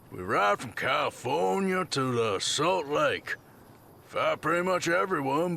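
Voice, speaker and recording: A man playing a blunt, bored biker raider turned bodyguard in a video game, curt and deadpan. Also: on the high side, vocal fry, slight background noise, heavily acted. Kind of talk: video game dialogue acting.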